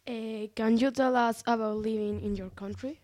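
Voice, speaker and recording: A woman's voice: a little high, fairly even intonation, moderate pace, darkish tone. A woman student interviewer hesitantly reading out prepared questions.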